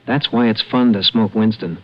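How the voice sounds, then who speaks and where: A man delivering a scripted 1950s radio advertising pitch as an announcer. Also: normal speed, lo-fi sound. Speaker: commercial announcer. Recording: band-limited vintage radio broadcast.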